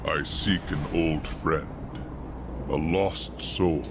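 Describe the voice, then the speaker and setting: An actor playing a solemn angel warrior who guards an outpost of light in a fantasy game, speaking formally and with measure. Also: male voice, rumbling low, fairly even intonation, extremely slow, background noise, exaggerated voice.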